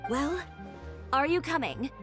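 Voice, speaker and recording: A woman's voice: high-pitched, very animated, takes it slowly, music in the background, exaggerated voice. An actress playing a blunt, headstrong, confident woman in an anime game, dry and curt. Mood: dry, brisk. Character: cool, blunt, headstrong woman with fiery temper.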